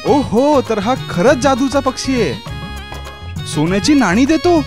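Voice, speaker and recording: A man voicing an eager, polite, naive young villager in a children's fairy-tale cartoon, earnest and excitable in his delivery. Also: very high voice, a little slow, music in the background, voice pushed up. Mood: excited. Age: young adult.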